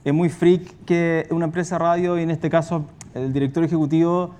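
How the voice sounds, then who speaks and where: A man's voice: a little high, level tone, moderate pace, mellow, smooth. A Chilean man speaking spontaneously on a panel as a radio and podcast producer, in a colloquial, rambling, anecdotal way.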